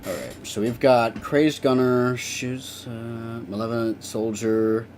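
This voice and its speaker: A man's voice: mid-range voice, steady tone, slow, a little mellow, a little hiss or hum. A young man chatting casually with viewers on a live stream while he works, rambling and swearing now and then.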